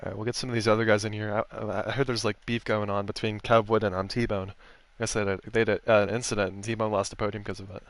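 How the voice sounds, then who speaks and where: A man's voice: on the low side, level tone, quick pace, hardly any pauses, no echo. Laid-back joking male race host. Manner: joking, laughing, crude banter. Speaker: host of a sim racing post-race stream. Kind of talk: casual post-race interview.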